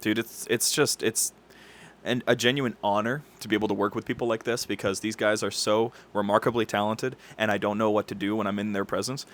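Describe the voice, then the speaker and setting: A man's voice: on the low side, fairly even intonation, a little fast. A man hosting a channel update in a casual, effusive, slangy way. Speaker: channel host. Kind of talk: casual enthusiastic video update. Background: background music.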